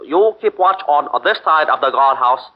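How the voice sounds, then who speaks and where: A man's voice: on the high side, lively intonation, normal speed. An actor playing a nervous young male guard in a 1940s radio drama, answering with hesitant, stammering replies. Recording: muffled 1940s radio broadcast.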